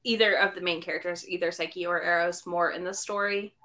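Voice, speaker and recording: Bright-voiced woman chatting about books. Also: on the low side, a little fast. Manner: animated, many "like" fillers, acts out characters' lines. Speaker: podcast co-host. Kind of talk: casual book discussion.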